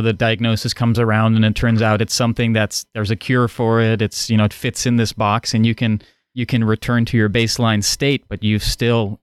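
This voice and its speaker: A man whose first language is Dutch muses playfully in casual conversation. His voice is smooth and resonant, recorded dry and close. He laughs easily between his thoughts. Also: lowish voice, no room sound. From Netherlands. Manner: playful, musing, laughs often. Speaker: podcast guest. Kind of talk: casual roundtable chat.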